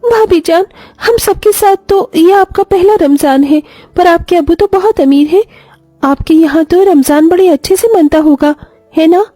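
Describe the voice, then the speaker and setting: A woman's voice: very high-pitched, measured pace, dark tone, dry recording, faint music behind, voice pushed up. A woman voicing a young, naive and wistful teenage sister-in-law with an eager, childlike, pleading way of talking in an audio story. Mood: curious.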